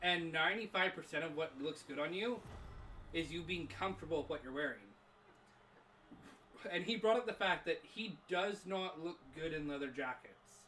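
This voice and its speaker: A man's voice: fairly high pitch, moderate pace, sharp tone, rough recording. A man casually chatting while playing a wrestling video game, rambling and self-assured with lots of filler words. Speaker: gaming channel co-host. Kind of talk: casual chat over gameplay. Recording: game crowd noise underneath. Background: arena crowd from the video game.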